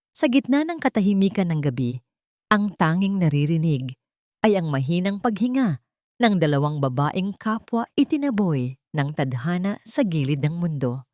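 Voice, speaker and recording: A woman with a Filipino accent narrating a scripted dramatic story in a measured, solemn way. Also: on the low side, warm tone, no echo, studio quality.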